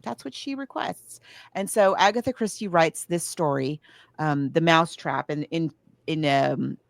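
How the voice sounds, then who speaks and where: A woman's voice: low-pitched, measured pace, vocal fry, no room sound. A woman co-hosting a podcast, chatting casually about books and films in a digressive, thinking-aloud way. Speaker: podcast co-host. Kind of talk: casual book and film discussion.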